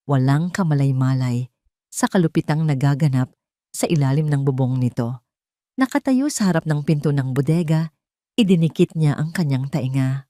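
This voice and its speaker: A woman's voice: deep voice, a little mellow, dry recording, studio quality. A woman narrator reading a scripted story in a dramatic storytelling style.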